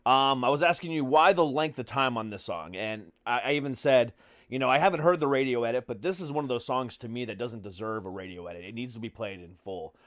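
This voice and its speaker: A man's voice: medium pitch, brisk, a bit bright, no echo, clean studio sound. A man hosting a podcast, chatting informally in a casual interview style and swearing freely. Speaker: podcast host. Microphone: video call.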